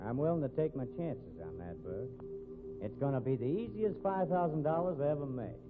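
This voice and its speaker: An actor playing a cocky, evasive rodeo cowboy in a 1950s radio drama, with American accent and folksy Western slang. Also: male voice, mid-range voice, big pitch swings, fairly quick, narrow, tinny audio, music in the background, natural voice. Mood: cocky, assured. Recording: thin, noisy vintage radio recording.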